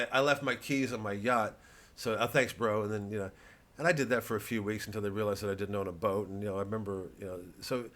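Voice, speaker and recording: A middle-aged male comedian with a hesitant, sardonic, profane way of talking, rambling through a promotional monologue. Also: fairly low pitch. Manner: hesitant, sardonic and profane, full of filler and restarts.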